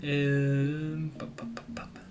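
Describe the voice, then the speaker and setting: A man from Spain who speaks English as a second language, chatting casually on a live stream and often pausing to search for words. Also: a little high, completely flat delivery. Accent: Spanish. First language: Spanish. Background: guitar music.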